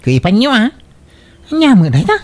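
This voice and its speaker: A woman's voice: mid-range voice, wildly swooping pitch, a little fast. A woman playing a bewildered, fretful rural householder in an Ilocano comedy radio drama, full of questions and exclamations, with an Ilocano accent. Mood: grumbling. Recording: clearly noisy background.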